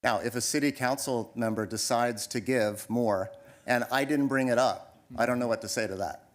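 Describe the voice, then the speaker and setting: A man's voice: medium pitch, normal speed. Hesitant man presenting a project. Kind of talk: public meeting discussion. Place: council chamber.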